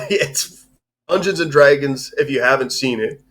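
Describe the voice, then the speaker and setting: A man's voice: mid-range voice, unhurried, a bit bright, rough recording. A man hosting a livestream, discussing films in a casual, rambling, informal way. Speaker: host of a livestream discussion show.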